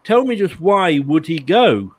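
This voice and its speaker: A man hosting a casual chat show, chatty and quick to laugh, with many restarts. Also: on the high side, sing-song. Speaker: host.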